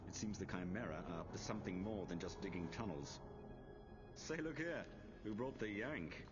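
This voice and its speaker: A man's voice: fairly low pitch, expressive, moderate pace, lightly acted. A man with a British accent playing a brusque, dismissive soldier in a war video game cutscene. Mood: dismissive, wary. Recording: dull lo-fi game audio, music behind.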